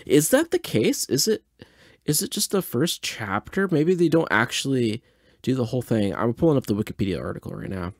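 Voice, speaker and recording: A man's voice: medium pitch, sing-song, brisk, dry recording, clean studio sound. A mildly tipsy man hosting a podcast, rambling casually and hesitantly as he thinks aloud in a laid-back way. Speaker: podcast host. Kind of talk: casual rambling audio reaction and commentary.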